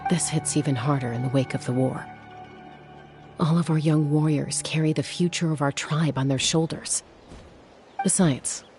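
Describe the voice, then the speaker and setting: A composed female warrior in a video game. She explains things in a measured, matter-of-fact manner, protective yet frank. Faint music runs underneath. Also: sits low, a little mellow. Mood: reassuring. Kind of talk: video game dialogue acting.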